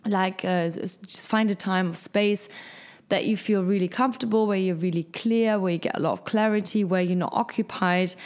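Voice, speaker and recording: A woman hosts her own podcast in a chatty, motivational way. Her voice is husky today after a hot night with little sleep, and it sits at a middle pitch. She sounds upbeat and encouraging as she talks the listener through it. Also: steady tone, normal speed, darkish tone. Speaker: podcast host. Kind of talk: casual solo podcast talk. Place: hot top-floor apartment.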